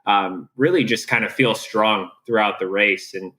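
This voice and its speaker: A young American man speaking as a guest in an interview, relaxed and candid, with many filler words. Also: lowish voice, moderate pace. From USA. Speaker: guest, professional triathlete. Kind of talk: casual interview chat.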